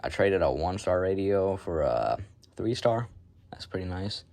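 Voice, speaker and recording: A man's voice: low-pitched, very animated, normal speed, a little mellow. A man giving a casual, unscripted show-and-tell as a hobbyist, rambling in an offhand, self-deprecating way. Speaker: hobbyist showing a radio collection.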